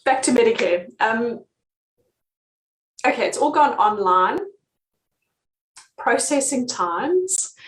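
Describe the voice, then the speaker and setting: Brief: British woman, relocation consultant, easygoing live-stream chat, echoey room. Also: medium pitch. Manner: chatty, many ums, laughs easily. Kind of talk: live-stream advice reading viewer comments.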